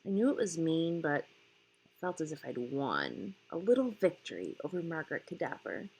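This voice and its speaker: A woman narrating a novel aloud in a measured manner, breaking sentences into short phrases with pauses. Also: sits low, very animated, darkish tone, breathy edge, rough recording. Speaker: audiobook reader. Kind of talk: scripted story narration, read aloud.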